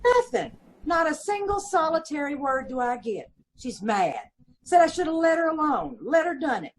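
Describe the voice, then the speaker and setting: A woman's voice: high-pitched, very animated, moderate pace, slight background noise, poor audio. A woman playing a gossipy, aggrieved middle-aged landlady and mother of five, talking folksy and ungrammatical in old-time radio style. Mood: indignant. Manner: folksy ungrammatical talk, indignant and self-pitying. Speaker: witness in radio police drama.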